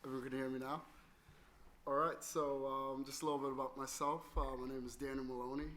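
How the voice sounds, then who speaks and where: A man's voice: mid-range voice, level tone, fairly quick, fairly bright tone. A man from Trinidad and Tobago giving a personal, explanatory talk to an audience as a panelist and company founder. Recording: noisy live room recording.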